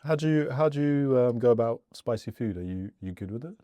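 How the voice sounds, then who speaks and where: A man's voice: medium pitch, lively intonation, brisk, dark tone, no echo. A man from Wales hosting a casual interview over a meal, talking in an informal, conversational way. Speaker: interviewer and host serving food.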